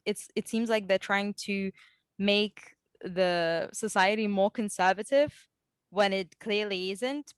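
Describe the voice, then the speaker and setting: Woman with a smooth, dry voice. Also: medium pitch, dry recording. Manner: conversational, asks follow-up questions. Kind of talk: casual podcast discussion.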